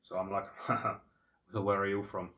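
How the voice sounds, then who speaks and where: A Finnish man with a Finnish accent, telling a long digressive story in a halting way with many fillers and restarts. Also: fairly low pitch, flat delivery, pushed and bright, recorded from a distance, dull audio. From Finland. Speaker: channel host. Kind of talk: rambling storytelling monologue. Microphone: phone.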